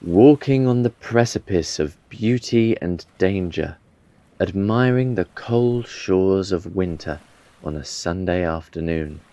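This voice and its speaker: Male poetry reader, dark soft tone, measured and reflective, close dry recording with a dulled top. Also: low voice, expressive, no echo, lo-fi sound. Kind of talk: poetry recitation.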